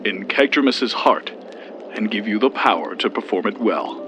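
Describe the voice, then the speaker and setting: An actor playing a supportive, brotherly divine being in a fantasy audio drama, earnest and reassuring. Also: male voice, lowish voice, lively intonation, moderate pace, background noise, natural voice. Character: warm, encouraging brotherly male divine being.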